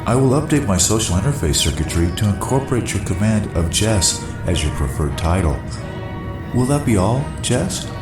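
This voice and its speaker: A self-important shipboard AI in a sci-fi comedy. The voice is deep and formal, yet rises and falls widely. It rings with echo over a music bed. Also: male voice, big pitch swings, normal speed. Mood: stiff, proud. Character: pompous, literal-minded shipboard artificial intelligence. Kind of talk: full-cast sci-fi comedy audio drama. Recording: roomy echo, music underneath.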